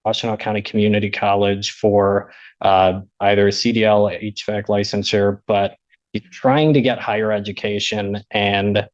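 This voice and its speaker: An American man speaking as a defense attorney, making a measured, formal and respectful plea to a judge. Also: on the low side, darkish tone. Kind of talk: courtroom argument to a judge. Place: indoor courtroom. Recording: muffled courtroom audio.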